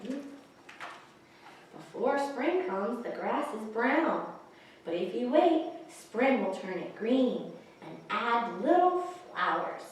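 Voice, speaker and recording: A woman reading picture books aloud to young children, pausing to explain the pictures and ask questions. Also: a little high, sing-song. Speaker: children's librarian, story time host. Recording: echoey, distant microphone, faint hiss.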